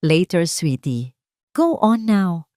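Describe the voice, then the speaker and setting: A woman's voice: lowish voice, very animated, slow pace, dark tone, dry recording, studio quality. A woman voicing a cold, falsely sweet, dismissive rich stepmother in an audio storybook drama.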